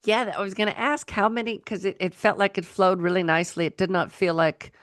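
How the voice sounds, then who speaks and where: A woman's voice: mid-range voice, fairly even intonation, brisk, no echo. Woman podcast host, dry sound. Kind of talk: casual interview chat. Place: remote video call.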